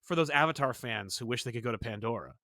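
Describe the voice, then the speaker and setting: A man co-hosting a casual geek-culture podcast, animated and prone to exclaiming and acting out imagined quotes. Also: medium pitch, fast, dry recording, studio quality. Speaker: podcast co-host.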